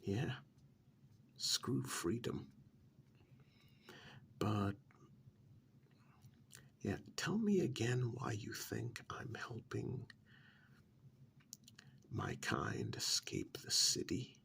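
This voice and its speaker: Man acting a gravelly, half-whispering, smug captive. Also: on the low side, huge pitch swings, a bit bright, heavily acted. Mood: coolly dismissive. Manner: drawling, sardonic, unhurried with long pauses. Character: smug, sardonic, pampered captive man feigning innocence. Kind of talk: soft-spoken ASMR role-play.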